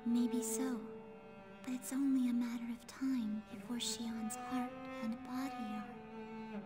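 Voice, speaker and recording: A woman playing a gentle, sorrowful otherworldly girl in a game cutscene, speaking in a soft, breathy, measured voice. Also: fairly high pitch, level tone, music in the background. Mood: wistful. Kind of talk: dramatic video game cutscene acting.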